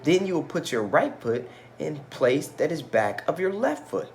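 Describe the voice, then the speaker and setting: A man reads the part of a solemn old sage in a storybook. He gives patient, emphatic instructions with strongly varied pitch. Faint noise sits behind him. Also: big pitch swings, moderate pace. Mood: solemn, instructing.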